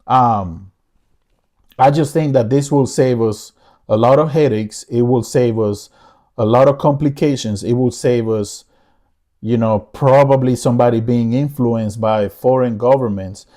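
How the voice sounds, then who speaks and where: A man's voice: mid-range voice, measured pace, mellow. A middle-aged man from the Dominican Republic giving his opinion in a casual vlog monologue, earnest and repetitive, often restarting his sentences. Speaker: vlogger.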